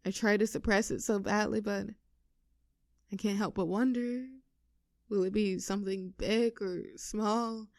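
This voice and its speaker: A woman's voice: medium pitch, steady tone, darkish tone, no room sound, clean studio sound, lightly acted. A woman playing an affectionate, teasing girlfriend with a vulnerable streak in an intimate roleplay audio drama. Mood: vulnerable, tender. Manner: teasing, halting when earnest.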